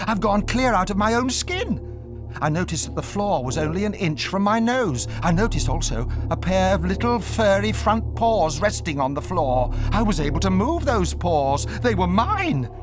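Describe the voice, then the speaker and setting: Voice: mid-range voice, big pitch swings, normal speed, lightly acted. A performer playing an astonished boy recounting his own transformation, as vivid first-person audiobook narration. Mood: wondering. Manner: dramatic, vivid storytelling. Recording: music behind the voice.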